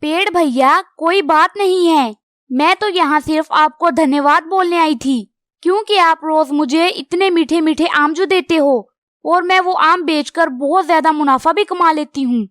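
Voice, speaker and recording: Woman voicing a tiny, very high-pitched polite bird. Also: a little slow, fairly bright tone, no echo, studio quality. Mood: happy, grateful. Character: friendly, polite female little bird mango seller.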